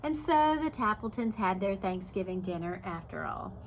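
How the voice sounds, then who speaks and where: A woman reads a children's picture book aloud like a preschool teacher, in an animated way, pausing to put questions to young listeners. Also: medium pitch, slight background noise, low-quality recording.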